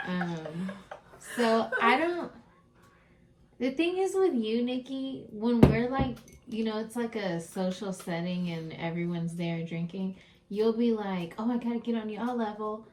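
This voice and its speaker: A woman's voice: medium pitch, a little slow, background noise. Teasing young woman reading questions. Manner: teasing, laughing, animated. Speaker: guest question reader, daughter of a host. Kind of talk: casual podcast chat.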